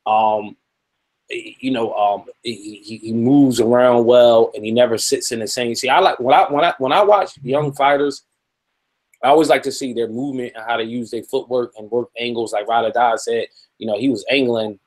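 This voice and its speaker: A man chats as a boxing panelist. His voice sits at a middle pitch, and he breaks fights down in a loose, colloquial, conversational way. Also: fairly even intonation, moderate pace. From Philadelphia. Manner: conversational, colloquial, often checks in with "you know". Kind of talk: casual sports commentary.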